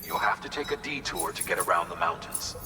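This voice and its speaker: An actor playing an earnest, authoritative male mission commander who gives measured, instructive briefings in a video game. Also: low-pitched, animated, music in the background. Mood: businesslike. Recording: long reverb, noisy ambience.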